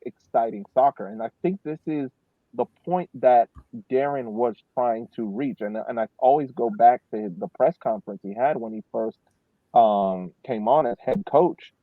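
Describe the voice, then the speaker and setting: A man's voice: mid-range voice, no echo. Male sports panelist, dry phone-quality audio, conversational, emphatic, circles back to restate points. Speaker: podcast panelist. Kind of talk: casual sports analysis. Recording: muffled, narrow phone-like sound, dry.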